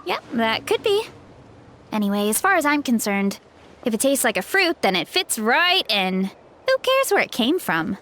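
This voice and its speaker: A woman's voice: a little high, sing-song, moderate pace, fairly bright tone, a little hiss or hum. A woman voicing a cheerful, chatty young fruit grower with a big appetite in a video game. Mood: cheerful, friendly. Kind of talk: video game character voice acting.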